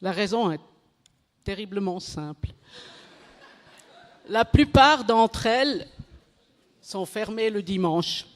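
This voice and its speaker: A woman gives a measured, unhurried conference talk in French, her second language. She stumbles now and then, restarts and corrects her words. Her voice carries a long reverb from the hall around her. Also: mid-range voice, expressive. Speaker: conference speaker.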